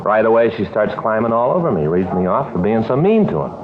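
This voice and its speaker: Low-voiced grumbling cop partner. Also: male voice, very animated, fast, a crowd in the background. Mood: weary, exasperated. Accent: American. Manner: folksy, complaining, chatty. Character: grumbling, put-upon married male police detective partner. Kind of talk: 1950s radio drama acting. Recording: muffled, noisy old radio broadcast.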